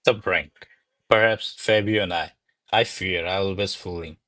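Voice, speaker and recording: A man acts out a diffident male secretary in a classic radio play. His voice sits fairly low, with formal, courtly phrasing and careful deference. The sound is dry, with little room around it. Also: fairly even intonation, normal speed, fairly bright tone, no echo. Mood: humble, evasive. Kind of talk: stage verse drama read as radio play.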